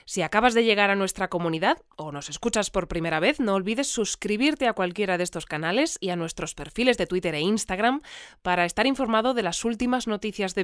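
An actress as an upbeat woman hosting a scripted community news bulletin. Her voice is smooth and clear, mid-pitched, recorded very dry. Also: no room sound, close to an everyday voice. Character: upbeat female newsletter presenter of a research community.

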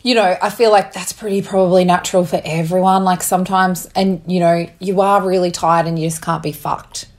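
A woman's voice: lowish voice, steady tone, brisk, hardly any pauses. A young woman in casual conversation with her partner, rambling with lots of fillers and the odd swear. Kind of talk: casual couple chat.

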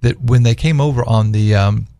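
A man's voice: mid-range voice, moderate pace, dark tone, dry recording, clean studio sound. A man speaking as a guest on a radio talk panel, earnestly telling stories and posing rhetorical questions in conversational religious discussion.

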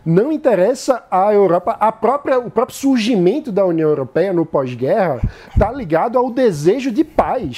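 A Brazilian panelist giving measured commentary on the news. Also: mid-range voice, mellow. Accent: Brazilian Portuguese. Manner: measured, explanatory. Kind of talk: opinion commentary.

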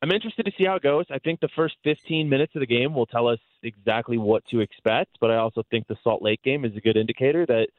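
A man's voice: mid-range voice, fast, hardly any pauses, dry recording, narrow, tinny audio. A man speaking as a guest sports announcer, giving articulate, conversational analysis in long explanatory runs. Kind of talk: conversational soccer analysis on a sports talk show.

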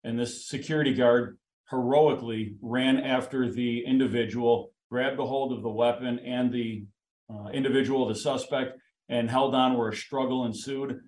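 A man with an American accent speaks in an echoey room at a medium pitch. He is a police commissioner making an unscripted statement, in formal official phrasing. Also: steady tone, normal speed, darkish tone.

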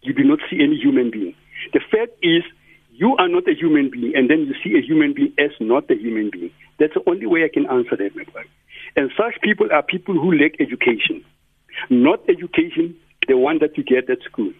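A man's voice: on the high side, very animated, fairly quick, husky, no echo. A man commenting on a radio talk show in an earnest, preaching tone. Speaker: studio guest commentator. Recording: tinny phone-like line.

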